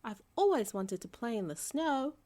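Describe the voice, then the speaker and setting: A woman's voice: a little high, big pitch swings, moderate pace, dark tone. A woman voicing a sweet, curious little boy teddy bear in a storybook, wide-eyed and wondering. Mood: excited wonder.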